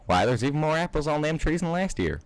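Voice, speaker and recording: A man voicing a cheerful young country farm mare in a put-on Southern drawl, pitching his voice up for her homespun talk. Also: very animated, quick pace. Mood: folksy. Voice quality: raised pitch over a low male voice. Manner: folksy, homespun turns of phrase.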